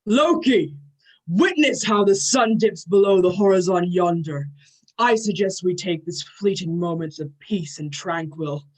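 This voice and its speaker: An amateur performer playing a proud, gruffly impatient male thunder god with formal, archaic phrasing in a play reading. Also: mid-range voice, unhurried, lightly acted, poor audio. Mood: grand.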